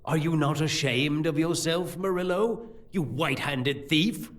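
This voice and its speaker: An actor playing a scheming, sardonic court priest in an audio drama, measured, theatrical and condescending. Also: male voice, a little high, lightly acted. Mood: scornful.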